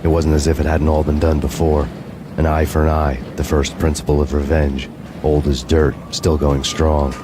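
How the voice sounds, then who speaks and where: An actor playing a brooding, vengeful hardboiled cop, narrating in a grim, deadpan noir style. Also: male voice, very deep voice, normal speed, noisy background. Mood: grim, determined.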